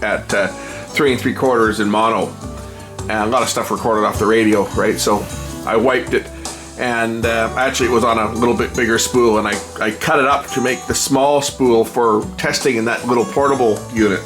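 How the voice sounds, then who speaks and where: A mid-pitched man talks through a hands-on repair job in a casual, unscripted way. He rambles and thinks aloud as he works, with clearly audible music in the recording. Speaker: repair hobbyist presenting a video.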